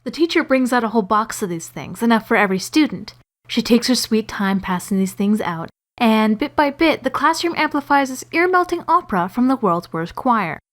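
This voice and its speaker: Wry, higher-voiced woman storyteller. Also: lively intonation, fairly quick. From Canada. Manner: wry, sarcastic, exaggerating. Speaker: storyteller and animator. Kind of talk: scripted comedic storytelling narration.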